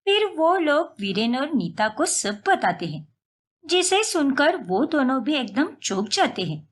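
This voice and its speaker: A woman reads scripted story narration. Her voice sits high and moves animatedly up and down as she tells the tale. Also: sing-song, a little slow.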